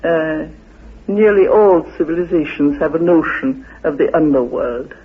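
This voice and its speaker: High-pitched man, husky voice, deliberate halting interviewee, rhetorical questions, thin phone-like radio recording. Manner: halting, deliberate, asks and answers his own questions. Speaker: interviewee expounding his theory. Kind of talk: interview, explaining with recited quotations.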